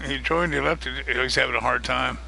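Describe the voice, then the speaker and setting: A man hosting a live stream of a multiplayer farming game, chatting casually and greeting viewers by name, his voice getting a little hoarse. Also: mid-range voice, fairly even intonation, normal speed, a crowd in the background, poor audio. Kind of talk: casual live-stream chat while gaming.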